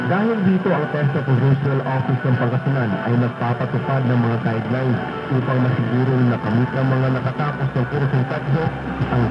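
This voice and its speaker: A man reading a scripted public service announcement as a radio announcer. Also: medium pitch. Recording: echoey, very noisy lo-fi AM radio.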